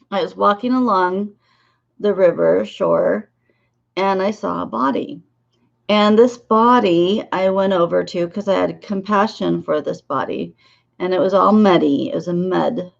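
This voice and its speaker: A woman in her fifties, hoarse and losing her voice, talking haltingly about her dream visions as a psychic in a casual monologue to camera. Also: medium pitch, lo-fi sound. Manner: halting, with many filler pauses.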